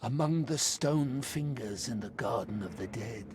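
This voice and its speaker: A man's voice: medium pitch, expressive, a little mellow, slightly rough, dry recording. An actor playing a man possessed by an undead sorceress, speaking cryptic riddles solemnly in a video game. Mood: vengeful, cryptic. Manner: ominous, riddling, deliberate. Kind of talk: video game dialogue acting.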